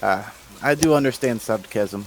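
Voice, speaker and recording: A man's voice: fairly low pitch, normal speed, slight background noise, voice pushed down, close to an everyday voice, low-quality recording. A man playing a humble older villager who speaks simple, broken English in a meek, hesitant way.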